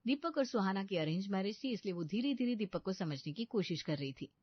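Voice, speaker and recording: Casting: female storyteller for scripted comedy narration, mid pitch, dry, slightly dull sound. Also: expressive, brisk, dry recording. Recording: dull, lo-fi studio voice-over.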